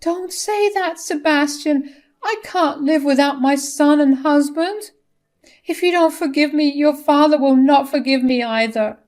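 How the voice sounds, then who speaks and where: A woman's voice: mid-range voice, normal speed, hardly any pauses, natural voice. A woman voicing a manipulative, desperate older mother who pleads and protests dramatically in a story. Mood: tearful pleading.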